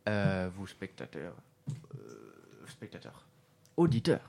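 A man hosting a casual radio show about cinema, relaxed and talking straight to the listeners. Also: sits low, slow, vocal fry.

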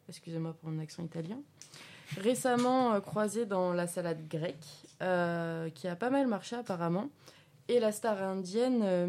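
A woman's voice: mid-range voice, dark tone. A woman reviewing a film in a casual radio chat, hesitant and full of fillers.

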